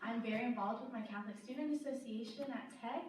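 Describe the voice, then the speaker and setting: A woman's voice: fairly high pitch, fast talker, a little mellow, slight background noise. A young woman in her twenties from Texas, a university student introducing herself casually on a panel. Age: 20s. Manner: casual, with fillers. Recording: echoey hall, distant mic.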